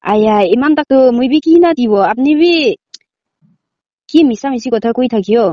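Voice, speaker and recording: A woman's voice: fairly high pitch, normal speed, warm tone, no room sound, highs cut off. A woman in a casual phone argument, protesting defensively and sounding exasperated. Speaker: prank call recipient.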